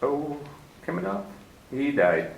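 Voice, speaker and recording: A smooth, scheming villain in an old radio play, purring with unhurried, genteel menace. His pitch swings widely as he coaxes and threatens. The old broadcast recording sounds roomy. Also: big pitch swings, full character voice. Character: smooth, scheming older male villain, fat man. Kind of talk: 1940s radio acting.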